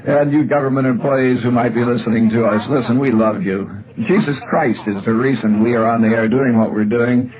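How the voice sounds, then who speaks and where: An American man hosting a religious and political call-in show, speaking earnestly and with deliberate phrasing. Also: mid-range voice, steady tone, crowd noise. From Iowa, United States. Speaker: radio call-in host. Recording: narrow tinny shortwave radio broadcast.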